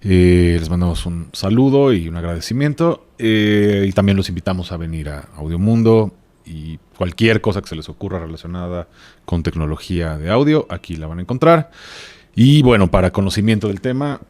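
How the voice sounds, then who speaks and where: Informal man, lowish voice. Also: moderate pace, a little mellow, no room sound. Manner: joking, informal. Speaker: podcast co-presenter. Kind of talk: casual explanatory chat.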